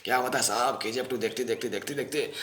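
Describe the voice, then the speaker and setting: A man's voice: medium pitch, fast, a bit bright, roomy sound, close to an everyday voice. A man performing an audition monologue as a small-time pickpocket, anxious and servile, pleading innocence to police in whiny, repetitive street slang. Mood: casual, servile. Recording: noisy amateur recording with clicks.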